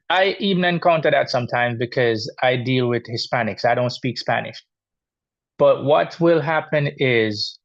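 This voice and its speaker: Gravelly Jamaican man giving a practical talk. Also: mid-range voice, a bit bright. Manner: plain-spoken and practical, with many restarts. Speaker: guest speaker, exterminator. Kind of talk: informal talk to a church group. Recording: dull, lo-fi audio.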